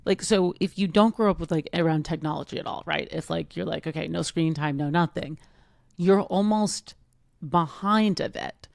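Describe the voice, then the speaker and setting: Thirties woman, podcast co-host, low gravelly voice, loose rambling chat. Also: normal speed, a little mellow, no echo, clean studio sound. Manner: rambling, heavy use of "like". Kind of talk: casual chat.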